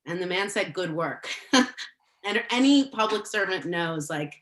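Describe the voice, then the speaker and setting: Woman from El Salvador, roomy echo. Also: fairly low pitch. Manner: animated, quick laughs, many "like" fillers. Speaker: guest, former education official. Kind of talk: casual podcast conversation.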